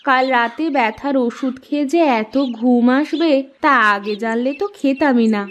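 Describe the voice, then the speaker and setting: A woman's voice: high voice, normal speed, natural voice. A woman playing a practical, cautious village housewife in a cartoon, nagging a little. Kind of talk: Bengali cartoon dialogue. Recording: dull lo-fi recording.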